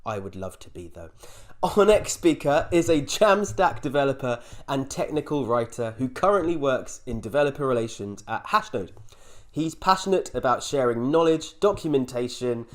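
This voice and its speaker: A man's voice: medium pitch, expressive, moderate pace. A man with a British accent hosting a live-streamed developer conference, chatty and joking with the audience as he introduces speakers. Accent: British English. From United Kingdom. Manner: chatty, upbeat, jokey. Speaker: conference host.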